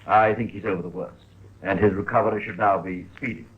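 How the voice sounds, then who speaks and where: An actor playing a measured, probing Victorian consulting doctor, formal and precise, in 1950s radio drama style. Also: male voice, fairly low pitch, fairly even intonation, natural voice. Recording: tinny, phone-like old radio recording.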